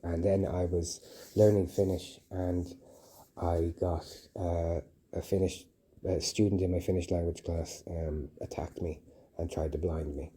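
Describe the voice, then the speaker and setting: A man in his early forties with an Irish accent, giving a personal account in a halting, repetitive, pleading way. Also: low voice, flat delivery, dark tone. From Dublin, Ireland. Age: early 40s. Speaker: interviewee being assessed. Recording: faint background noise with clicks.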